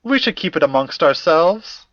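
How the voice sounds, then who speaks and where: A man's voice: on the high side, lively intonation, moderate pace, a bit bright, dry recording, lo-fi sound. A man playing a formal, fussy academic in an audio drama, precise and wordy, with old-fashioned politeness. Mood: formal, measured. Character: formal, fussy, courteous male academic investigator.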